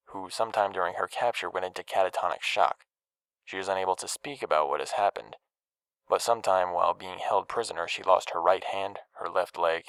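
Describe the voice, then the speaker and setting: A man's voice: low-pitched, gravelly, clean tone, no echo, low-quality recording. A man voicing a television news reporter, delivering a formal broadcast bulletin.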